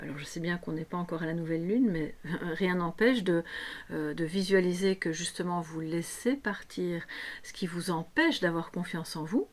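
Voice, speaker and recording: A woman with a somewhat low voice and a slight hoarseness guides viewers through a tarot reading. She talks off the cuff, explaining as she goes and checking in with her listeners. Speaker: tarot reader and coach hosting a guidance video. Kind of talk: unscripted spiritual guidance talk to viewers.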